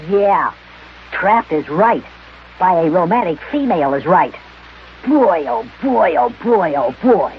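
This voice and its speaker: Plucky boy sidekick, boyish and exclamatory, lively swooping pitch, vintage radio serial sound. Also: slow pace, muffled recording, noisy background. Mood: dismayed. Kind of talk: old-time radio children's serial acting. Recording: band-limited old radio recording.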